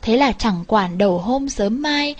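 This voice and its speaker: Woman, fairly high voice, expressive fairy tale narration, storyteller. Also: a little slow, lo-fi sound.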